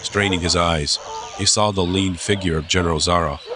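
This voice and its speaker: A man narrating a dramatized audiobook with suspenseful, deliberate phrasing. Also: low-pitched, fairly even intonation, a loud crowd. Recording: clear background ambience noise.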